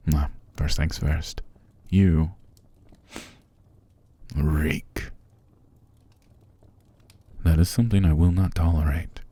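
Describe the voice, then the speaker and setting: A man playing a cruel, haughty, possessive vampire master in an ASMR roleplay, with a gravelly, breathy voice and a condescending, commanding manner. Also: extremely low pitch, mellow, dry recording, heavily acted. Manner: slow, condescending, commanding, drifting into whispers. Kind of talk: ASMR roleplay, scripted character monologue.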